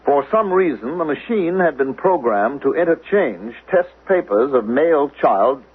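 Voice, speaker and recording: Radio drama, male coolly controlled government investigator: precise, formal, commanding, highly varied pitch, thin lo-fi vintage sound. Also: lively intonation, measured pace, husky. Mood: officious. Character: controlled, officious male investigator of a future state. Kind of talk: 1970s radio drama acting. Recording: narrow, lo-fi old radio recording, faint noise.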